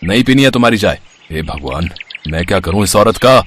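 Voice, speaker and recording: A man's voice: fairly low pitch, animated, fairly quick, a bit bright, slight background noise. A man playing an exasperated poor village labourer husband, complaining and exclaiming in a bedtime story. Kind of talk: acted dialogue in a bedtime story.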